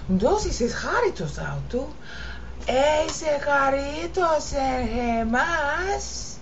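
A woman teaching informally, explaining and chanting Greek verse in a drawn-out sing-song way. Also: medium pitch. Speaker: amateur Bible teacher. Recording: muffled lo-fi recording with background noise.

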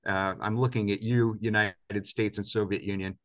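A man's voice: lowish voice, level tone, normal speed. A man in his fifties being interviewed on a video call, talking reflectively and emphatically with rhetorical questions. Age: 50s. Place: indoors, large office-like room, on a video call.

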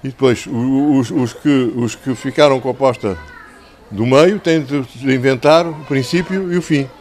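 An older man with a European Portuguese accent and a slightly hoarse voice, talking to schoolchildren as an author, conversational and didactic, repeating phrases for emphasis into a handheld microphone. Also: medium pitch, moderate pace, a little mellow. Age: 60s or older. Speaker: children's author. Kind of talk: talking and telling stories to schoolchildren. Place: covered outdoor tent in a town square.

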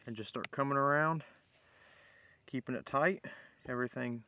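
A man's voice: medium pitch, normal speed, smooth, highs cut off. A man giving a casual step-by-step bushcraft tutorial outdoors, explaining in a plain-spoken, informal way. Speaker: bushcraft demonstrator, host. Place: outdoors in woodland.

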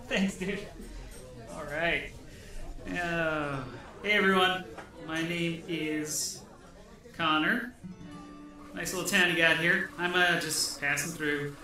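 A man playing a polite, laid-back traveling musician in a naturalistic audio drama. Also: a little high. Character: Connor, polite, laid-back traveling male singer-songwriter. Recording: very echoey, distant, music behind.

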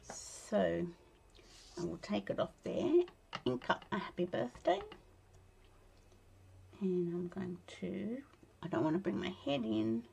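An Australian woman with a tired, croaky voice chatting casually and laughing as she demonstrates card making at her craft desk. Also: medium pitch, big pitch swings, takes it slowly, long pauses, warm tone. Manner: chatty, laughs often, mutters to herself while working. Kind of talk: casual crafting tutorial chat. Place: indoor craft desk, overhead camera.

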